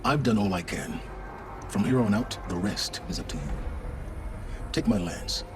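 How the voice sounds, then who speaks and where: An actor playing an aloof, calculating mentor in a video game, speaking in a deliberate, theatrical way. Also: male voice, lowish voice, expressive, over music, close to an everyday voice. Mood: intense, instructive. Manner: deliberate, eloquent, theatrical phrasing. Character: aloof, calculating male mentor confessing his part in a cult. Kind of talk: dramatic video game dialogue.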